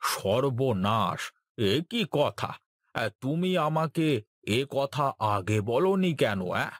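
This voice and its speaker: A man's voice: medium pitch, big pitch swings, slow, dry recording, clean studio sound. A man voicing a lazy, easily flustered village landowner in a cartoon, with a blustering, exclamatory delivery. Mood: alarmed, fretful. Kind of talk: Bengali cartoon voice acting.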